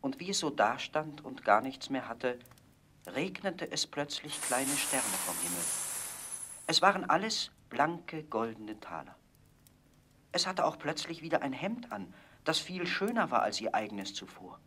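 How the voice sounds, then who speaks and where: A male storyteller reads a children's fairy tale. His voice sits at a medium pitch, and he phrases each sentence in a measured, expressive storybook way. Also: slight background noise. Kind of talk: scripted fairy-tale narration.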